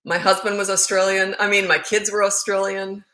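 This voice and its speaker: A woman's voice: medium pitch, flat delivery, moderate pace, sharp tone. A middle-aged woman with a Canadian accent, a parenting coach answering viewer questions live in a frank, chatty, rambling way.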